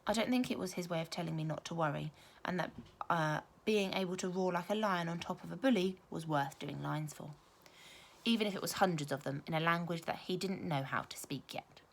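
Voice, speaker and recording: A woman teacher reading a children's story aloud, with expressive, emphatic storytelling. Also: lowish voice, fairly quick, creaky.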